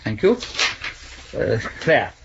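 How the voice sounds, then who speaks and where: A man's voice: medium pitch, sing-song, slow, creaky, slight background noise, exaggerated voice. A man playing a smug, precise government expert in a 1970s radio drama, speaking formally and pedantically. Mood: smug, measured. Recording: dull lo-fi 1970s radio recording.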